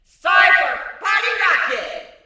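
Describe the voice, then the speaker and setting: A man voicing a theatrical male changeling showman in a story reading, with loud, drawn-out proclamations and a roomy echo. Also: very high voice, lively intonation, far from the mic, pitched above the performer's own voice, full character voice. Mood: excited, booming.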